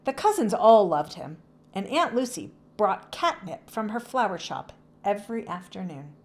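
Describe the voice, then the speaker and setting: Expressive woman reading a children's storybook. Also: mid-range voice, normal speed. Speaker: children's book read-aloud narrator. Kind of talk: scripted storybook narration for children.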